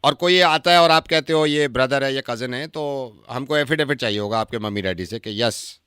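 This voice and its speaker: A man's voice: medium pitch, normal speed, pushed and bright, clear, resonant tone, no echo, studio quality, close to an everyday voice. A man playing a strict, nosy landlord's brother on a prank call, insistently repeating his house rules. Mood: stern. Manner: insistent, repetitive, laying down rules.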